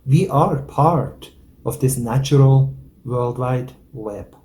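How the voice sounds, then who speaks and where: A man from Switzerland introducing himself and his work as a spiritual teacher, pausing often with "uh". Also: mid-range voice, darkish tone, a little hiss or hum. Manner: slow, many hesitant "uh" fillers. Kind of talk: calm introduction.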